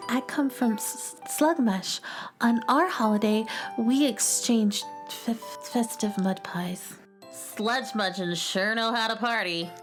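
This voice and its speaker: A woman's voice: on the high side, slow, faint music behind. A woman playing a shy, sweet little alien girl made of sludge, timid and stuttering, in a children's radio drama. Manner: hesitant, timid, innocent.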